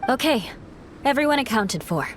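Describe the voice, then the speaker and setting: An actress playing a composed, earnest and resolute woman knight in a video game, with formal, measured wording. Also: on the high side, expressive, a little hiss or hum, close to an everyday voice. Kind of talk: English video game voice acting.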